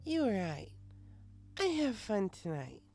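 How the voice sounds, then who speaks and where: Man putting on a slurring, high-pitched drunk girl pony. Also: huge pitch swings, takes it slowly, vocal fry, darkish tone, heavily acted. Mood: affectionate tipsy. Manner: drawling, rambling drunken talk. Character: drunk, giggly young female pony, boastful and affectionate. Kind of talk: audiobook character voice.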